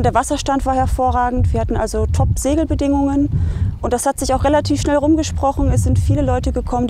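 A woman in her thirties gives interview answers in a fairly high voice. She is recorded outdoors by the water, with noticeable ambient noise around her. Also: mellow. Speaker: sailing school representative. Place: outdoors at a lakeside marina. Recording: clearly noisy outdoor ambience.

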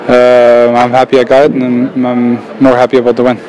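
A man's voice: medium pitch, monotone, fairly quick, darkish tone, poor audio. A young man in his twenties giving plain, hesitant post-game answers in a locker room into a handheld mic. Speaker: hockey player. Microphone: handheld mic held by reporter.

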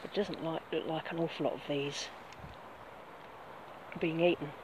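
A woman's voice: low-pitched, fairly even intonation, moderate pace. Woman, middle-aged, chatty walk-and-talk on her phone outdoors, lots of wind noise. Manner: chatty, points things out as she walks. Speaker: vlogger. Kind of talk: casual walk-and-talk vlog. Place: outdoors on a grassy field by bushes. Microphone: phone camera held close.

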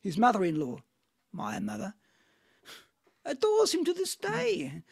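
A man's voice: sits high, wildly swooping pitch, a little slow, creaky, darkish tone, slightly rough, exaggerated voice. A man reading the part of a bitter, envious, sardonic middle-aged man in an amateur stage play. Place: at home on a video call. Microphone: video call microphone.

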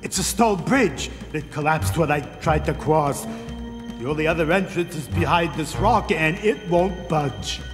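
A man's voice: a little high, lively intonation, a little slow. An actor voicing an anxious, dramatic male elephant in a cartoon-style video game, with a fretful delivery. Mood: pained, urgent. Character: anxious, whiny male elephant, big and soft-hearted. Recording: reverberant cave sound with music behind.

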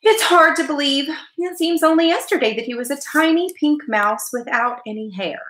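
A woman's voice: mid-range voice, expressive, moderate pace, fairly bright tone, echoey room, pitched above the performer's own voice, close to an everyday voice. A woman voicing a fretful, doting mother mouse from a children's storybook, worried and tender. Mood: wistful.

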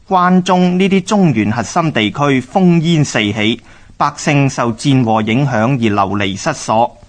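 A man with a Hong Kong Cantonese accent narrating a scripted radio history drama in a measured, explanatory manner. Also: mid-range voice.

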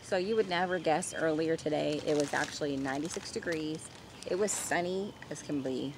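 A middle-aged woman with a fairly low voice tells a story in a casual, chatty way. She is recorded outdoors in a garden, with some ambient noise behind her. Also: normal speed. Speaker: vlogger. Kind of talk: casual personal storytelling to camera.

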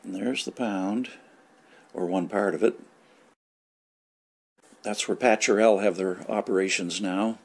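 Low-pitched older man, from Canada, informal commentary, reminiscing over old footage. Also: steady tone, measured pace, gravelly, pauses often, slightly rough. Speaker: local resident recalling the past.

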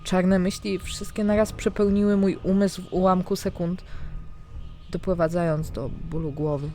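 A woman's voice: lowish voice, moderate pace. Dramatic female fantasy narrator. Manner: dramatic, expressive storytelling. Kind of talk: scripted first-person fantasy diary narration. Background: background music.

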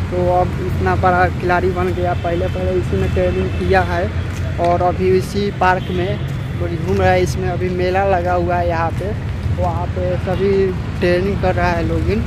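Young man, flat delivery, noisy outdoors. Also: high-pitched. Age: 20s. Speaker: vlogger. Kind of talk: casual walking vlog narration. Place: outdoors at a sports ground and roadside. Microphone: phone at arm's length. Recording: very noisy outdoor traffic and ambience.

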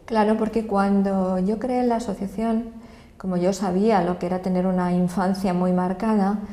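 A woman's voice: mid-range voice, steady tone, normal speed, warm tone. An older woman from Madrid with a Spanish accent, explaining things earnestly in a sit-down interview indoors. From Madrid, Spain. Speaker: association president. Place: indoor office room. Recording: echoey room.

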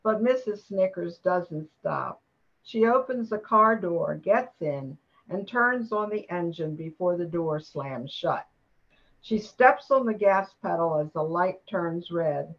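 Bit muffled, older lady narrating a radio story, real slow, slightly low voice. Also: animated. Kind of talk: scripted radio play narration.

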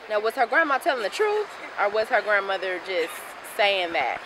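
A woman's voice: medium pitch, very animated, normal speed. A woman chatting casually as an interviewee outdoors. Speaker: street interviewee. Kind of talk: casual chat. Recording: noisy outdoor ambience.

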